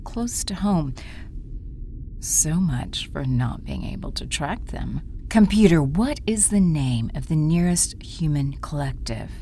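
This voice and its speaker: A woman performs a cold alien villainess for an audio drama. Her voice is deep and unhurried, commanding one moment and a menacing murmur the next. There is faint noise behind her. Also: animated, warm tone, heavily acted. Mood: brooding, menacing. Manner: deliberate, imperious, drops into breathy menacing murmurs.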